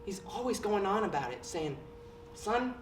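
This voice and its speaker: A woman playing an arrogant, petulant teenage boy on stage, sneering and flaring into shouts. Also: medium pitch, very animated, normal speed, natural voice. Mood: haughty. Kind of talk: stage play acting. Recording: roomy stage recording from a distance, faint hiss.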